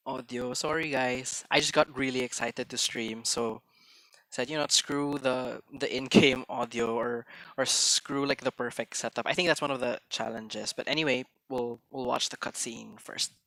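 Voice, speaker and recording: Bright streamer man. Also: medium pitch, fairly even intonation, no echo, poor audio. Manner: chatty, hesitant restarts, mixes in Tagalog phrases; tends to talk fast. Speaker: game streamer. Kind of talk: casual live-stream chat.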